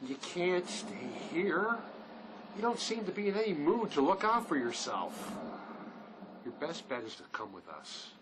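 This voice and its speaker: A man's voice: high voice, big pitch swings, measured pace, noisy background. A man acting an anxious, remorseful fugitive who plays peacemaker in a drama reading, earnest and pleading.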